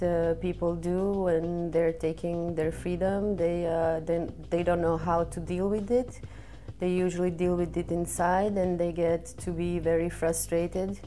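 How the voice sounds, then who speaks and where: A Serbian-accented woman in her thirties, an artist from Serbia, speaking earnestly to camera in an interview, halting with frequent pauses and fillers. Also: on the low side, dark tone. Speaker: exhibiting artist. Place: indoor studio in front of a red backdrop.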